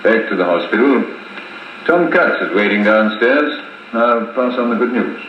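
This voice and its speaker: An actor playing a dry, gruff colonel with a clipped, understated manner in a 1950s radio drama. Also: male voice, lowish voice, expressive, normal speed, close to an everyday voice. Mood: resigned. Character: dry, gruff British colonel, reserved old-school officer. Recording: muffled, echoey 1950s wire recording with hiss.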